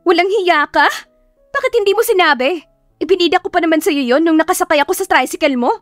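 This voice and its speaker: Lady doing a flustered feisty young teacher gal, high voice, romance audiobook w music. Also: fast talker, dry recording. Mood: indignant. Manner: exclaiming, indignant. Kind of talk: romantic audiobook character acting.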